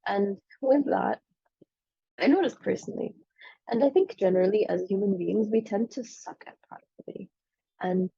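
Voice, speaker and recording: A woman's voice: mid-range voice, gravelly, warm tone, slightly rough, dry recording, dull audio. A woman in her twenties, a medical student recovering from the flu, giving a casual, frank and self-deprecating spoken presentation on a subject she studied. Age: 20s.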